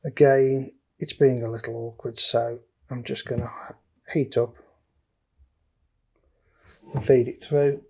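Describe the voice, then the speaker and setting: A man's voice: mid-range voice, little pitch movement, slow, vocal fry, pauses often, warm tone, husky. A man giving casual, matter-of-fact tutorial commentary, talking through each step as he works on electronics. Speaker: DIY electronics presenter. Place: indoor electronics workbench.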